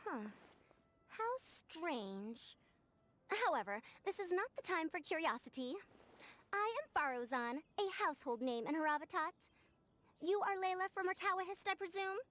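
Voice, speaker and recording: A woman's voice: extremely high pitch, animated, moderate pace, a bit bright. A woman voicing a proud, self-important senior scholar in a video game, formal and theatrical but youthful-sounding. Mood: puzzled, brisk. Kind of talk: anime-style video game voice acting.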